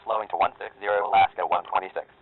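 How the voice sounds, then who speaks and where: Quick clipped readbacks from a game's male airline pilot, his low voice squeezed thin through a narrow radio band. He rattles off headings and speeds without a pause. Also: fairly even intonation, slight background noise. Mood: routine. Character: male airline pilot reading back clearances.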